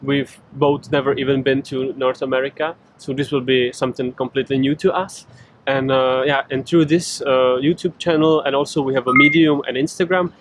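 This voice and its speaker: A man's voice: medium pitch, steady tone, brisk, slight background noise. A man in his twenties with a Czech accent, chatting casually on camera outdoors and stumbling over his words. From Czech Republic. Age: 20s. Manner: hesitant, restarts words often. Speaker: vlogger. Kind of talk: casual chat. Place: outdoors with a city behind.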